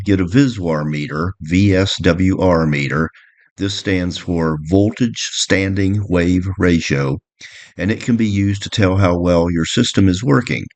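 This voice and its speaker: Male instructional presenter, notably low voice, explaining technical gear step by step. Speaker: presenter explaining boat electronics. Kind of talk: instructional narration.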